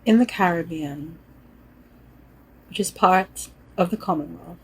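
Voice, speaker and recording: A woman from Trinidad and Tobago, giving a calm, measured spoken-word introduction. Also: fairly low pitch, breathy edge, low-quality recording.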